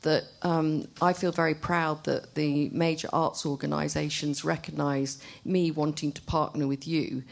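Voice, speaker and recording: A woman with a deep, gravelly voice makes spontaneous remarks at a live public event. Her intonation stays very level, and she speaks hesitantly, circling back over words as she builds each thought. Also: little pitch movement. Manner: halting, hesitant, repeats words. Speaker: artist speaking from the audience. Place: arts centre event room.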